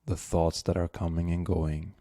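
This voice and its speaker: A man leading a guided meditation as the guide, speaking gently and unhurriedly with long pauses. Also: low voice, little pitch movement, no echo, studio quality.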